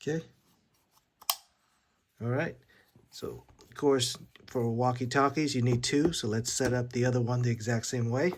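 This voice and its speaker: A man's voice: mid-range voice, unhurried, long pauses, noisy background. A man giving a casual, hands-on gear demonstration, informal and a little hesitant as he thinks aloud. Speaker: gear reviewer. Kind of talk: casual unboxing and setup demonstration.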